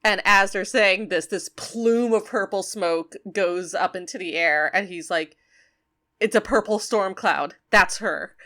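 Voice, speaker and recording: A woman co-hosting a podcast, chatting casually as she recaps an episode, animated and quick to laugh. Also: mid-range voice. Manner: animated, laughs often, retells scenes in voices. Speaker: podcast co-host.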